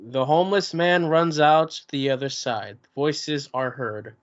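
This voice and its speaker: A man reading the stage directions aloud in a table read of a play, in a plain, even delivery. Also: fairly high pitch, a little slow. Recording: dull lo-fi recording.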